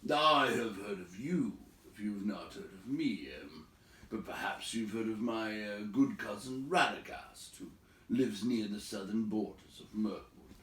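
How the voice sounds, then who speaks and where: A man voicing a courteous, cautious old storybook wizard who speaks politely and haltingly. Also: fairly low pitch, animated, fairly bright tone. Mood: courteous, pleading. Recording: echoey room, distant from mic.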